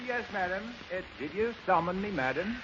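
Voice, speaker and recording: An actor playing a deferential, formal butler with a polished announcer's delivery in 1930s radio style. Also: male voice, high-pitched, animated, fairly quick, fairly bright tone. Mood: meek, deferential. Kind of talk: 1930s radio comedy acting and sponsor pitch. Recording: muffled, hissy 1930s radio recording.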